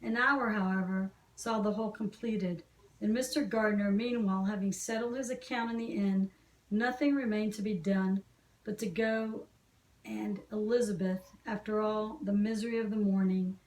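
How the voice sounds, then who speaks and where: A woman's voice: mid-range voice, steady tone, moderate pace. A woman reading a classic novel aloud as an amateur audiobook narrator. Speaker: volunteer audiobook reader. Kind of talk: scripted literary narration. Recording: echoey room, slightly distant mic.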